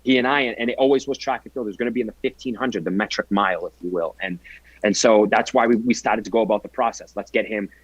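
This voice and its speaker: A man's voice: on the low side, quick pace, breathy edge, dry recording, highs cut off. A man in his twenties, a guest athlete telling his story in an earnest, reflective way during a casual interview. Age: 20s.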